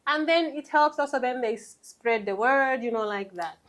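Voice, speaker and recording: A woman's voice: a little high, normal speed. A woman from Zambia, around her thirties, talking casually about running her shop in an interview, with lots of "you know" and "like". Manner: warm, chatty, many fillers like "you know".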